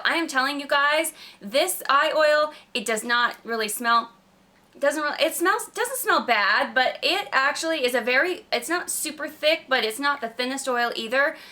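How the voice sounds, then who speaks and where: A woman with a slightly croaky voice from a cold, chatting casually and emphatically to camera as a gushing beauty vlogger. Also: high voice, expressive, moderate pace, sharp tone. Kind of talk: casual product review chat to camera.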